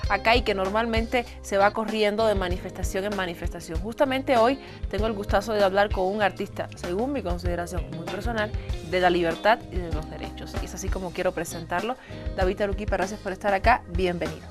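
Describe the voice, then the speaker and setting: A woman hosts a television interview show, her delivery polished and presenter-like as she introduces and questions her guest. She talks in a quick, conversational flow, with faint music under her voice. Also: medium pitch. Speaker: TV show host. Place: television studio.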